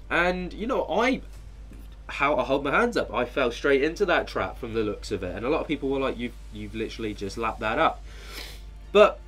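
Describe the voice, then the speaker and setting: A man's voice: fairly high pitch, husky. A man with a head cold and a nasal, stuffy voice, rambling casually as a channel host with many fillers. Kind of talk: casual commentary on a video.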